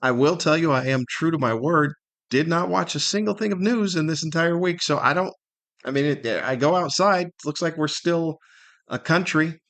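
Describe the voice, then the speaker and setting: A man's voice: a little high, normal speed, fairly bright tone. A middle-aged or older man hosting a solo podcast, rambling casually with wry humor and plenty of rhetorical questions. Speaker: podcast host.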